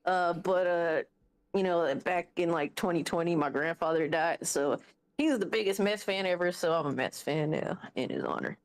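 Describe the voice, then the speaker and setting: A man's voice: a little high, moderate pace, clean tone, no echo. A young man telling a rambling story in casual chat, full of fillers and asides.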